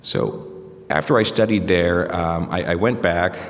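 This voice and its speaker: A man with a very low, deep voice answers interview questions at length, pausing on fillers and restarting his sentences as he thinks. He is an American journalist. His voice carries the long reverb of a large hall. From United States. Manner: reflective, hesitant with many fillers and restarts. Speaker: journalist guest.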